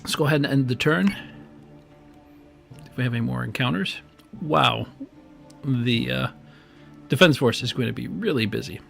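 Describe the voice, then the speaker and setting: A man streaming a strategy game live, talking casually and rambling with many fillers, his voice weak and strained. He speaks into a boom microphone at his gaming desk at home. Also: normal speed, creaky, pauses often, a bit bright, faint music behind. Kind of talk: casual live-stream commentary while playing. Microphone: desk microphone on a boom arm.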